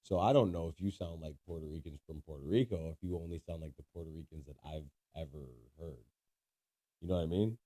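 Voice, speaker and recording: Deep-voiced teasing podcast man. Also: fast talker, dark tone. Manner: teasing, laughs a lot, explains at length. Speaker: podcast co-host. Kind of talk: casual chat.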